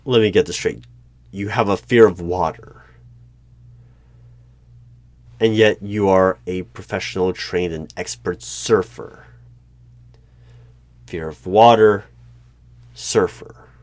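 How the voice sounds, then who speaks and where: A man playing a creepy, skeptical hiring manager in a one-sided job interview roleplay, speaking in a deliberate, probing way. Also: sits low, darkish tone, no echo. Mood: incredulous. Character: creepy, skeptical male hiring manager of a mysterious secret organization. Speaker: roleplay performer.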